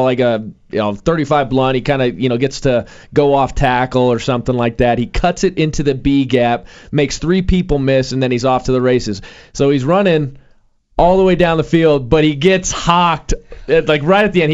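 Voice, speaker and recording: A man's voice: medium pitch, darkish tone, smooth, muffled recording. A young man hosting sports talk, chatting casually with many fillers and restarts. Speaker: sports radio host.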